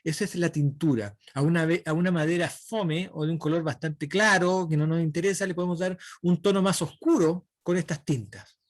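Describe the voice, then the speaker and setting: A man's voice: on the high side, steady tone, fairly quick, no echo, studio quality. Man teaching a workshop class. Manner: explanatory, checks understanding with "¿ya?". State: dry throat. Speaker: woodworking instructor. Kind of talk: online lecture.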